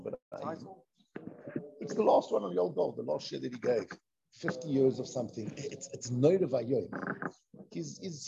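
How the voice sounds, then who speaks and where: A man teaching an informal Torah discussion, excitable, repeating himself and mixing in Hebrew and Yiddish terms, on a dull lo-fi recording. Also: on the high side, gravelly, noisy background.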